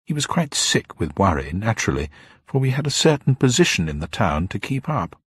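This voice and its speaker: A man's voice: lowish voice, big pitch swings, fairly quick, breathy edge, no room sound, studio quality, pitched above the performer's own voice. A man voicing a little old upper-class woman, genteel, formal, grieving and proud, in an audiobook story. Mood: stiff, indignant. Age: older. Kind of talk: dramatised audiobook character voice.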